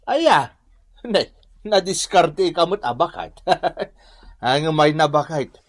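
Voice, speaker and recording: An actor with an Ilocano accent playing a sly, boastful philandering husband in a radio drama, wheedling and swaggering. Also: male voice, on the high side, lively intonation, takes it slowly. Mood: wheedling, scheming.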